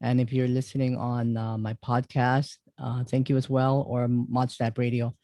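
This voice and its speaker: A man hosting an interview show, welcoming a guest and asking questions in a halting, loose way. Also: medium pitch, little pitch movement, warm tone, no echo. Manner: halting, full of fillers and restarts.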